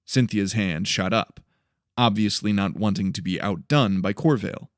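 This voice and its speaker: A man's voice: fairly low pitch, normal speed, no room sound, studio quality. A man narrating dramatic fiction from a script as an audiobook storyteller. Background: faint music.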